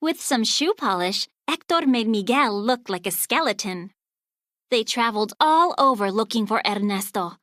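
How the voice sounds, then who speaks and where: A woman narrating a children's storybook aloud in an expressive, scripted read-along style. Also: a little high, moderate pace, no room sound, studio quality.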